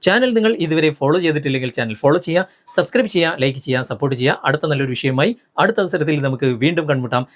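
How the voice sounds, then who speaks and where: A man's voice: a little high, lively intonation, very fast. A man with a Malayali accent hosting a tech tutorial, explaining phone steps in an instructional, step-by-step way straight to viewers.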